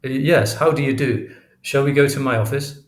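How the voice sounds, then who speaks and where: A man voicing an educated university professor in an audiobook, formal, measured and guarded. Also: mid-range voice, roomy sound, pitched above the performer's own voice, natural voice. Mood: polite. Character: evasive, educated male university professor, outwardly polite. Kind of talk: audiobook character dialogue.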